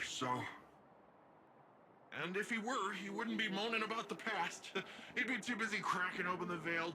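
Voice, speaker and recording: An actor playing a brash, upbeat young hero in a video game, with casual, slangy, animated delivery. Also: male voice, fairly high pitch, natural voice. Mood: casual, wistful.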